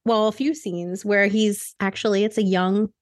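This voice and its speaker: A woman's voice: mid-range voice, steady tone, normal speed, no echo, clean studio sound. A woman co-hosting a podcast in casual chat, talking in an informal, chatty and emphatic way. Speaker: podcast co-host.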